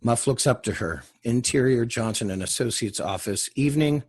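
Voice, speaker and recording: A man with a husky voice reading scripted stage directions as the narrator of a screenplay. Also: medium pitch, animated, normal speed, a little mellow.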